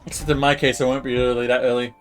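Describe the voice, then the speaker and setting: A man casually commenting on a live game stream, rambling as he thinks out loud. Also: medium pitch, flat delivery, racing, soft background music.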